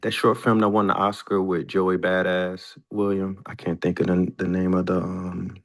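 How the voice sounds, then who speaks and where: A man's voice: low voice, flat delivery, moderate pace. A man in a casual question-and-answer chat as a filmmaker, speaking hesitantly and repeating words as he searches for details. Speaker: filmmaker and screenwriter.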